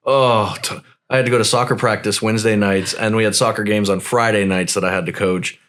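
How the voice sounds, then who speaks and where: Deep-voiced laid-back man hosting a podcast. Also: a little fast. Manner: relaxed, profane, peppers talk with "man" and "dude". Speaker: podcast host. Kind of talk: casual conversation.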